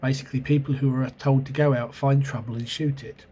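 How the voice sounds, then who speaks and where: A man's voice: mid-range voice, steady tone, fairly quick, mellow, slight background noise. A man with a cold and sore throat hosting his own video, rambling and hesitant as he recalls an old role-playing game, recorded on a camera mic at home. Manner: rambling, hesitant, many ums and self-corrections. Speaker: channel host reviewing role-playing games. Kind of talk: casual spoken video review.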